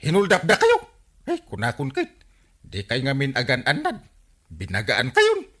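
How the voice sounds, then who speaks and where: A man's voice: mid-range voice, huge pitch swings, normal speed, fairly bright tone. A man with an Ilocano accent playing a teasing, exclaiming village friend in a comedy radio drama. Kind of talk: broadcast comedy drama acting.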